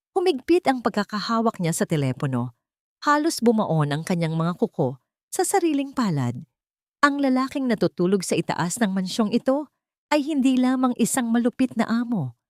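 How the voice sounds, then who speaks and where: A woman's voice: mid-range voice, no echo, clean studio sound. A woman narrating a scripted dramatic story in a solemn manner.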